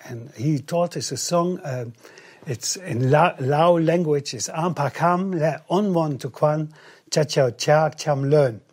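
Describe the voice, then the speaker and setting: A man from the Netherlands, an interview guest speaking English haltingly, with many restarts. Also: on the high side, a little mellow. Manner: halting, frequent restarts and fillers.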